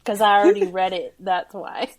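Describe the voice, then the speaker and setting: A woman's voice: medium pitch, steady tone, moderate pace, a crowd in the background. A woman guesting on a podcast, chatting casually about a book with dry, self-deprecating humor. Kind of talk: casual chat.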